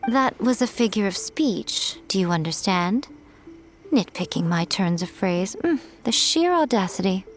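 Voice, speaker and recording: A woman voicing a sly, teasing spirit familiar in a video game, arch and unhurried. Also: medium pitch, lively intonation, close to an everyday voice. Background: soft game music.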